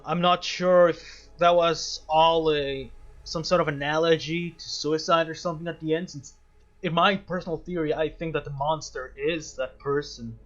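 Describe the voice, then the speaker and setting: Man, fairly high voice, casual gaming commentary, reflective, halting repeats, dull lo-fi mic, faint music. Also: a bit bright. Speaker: gaming video host.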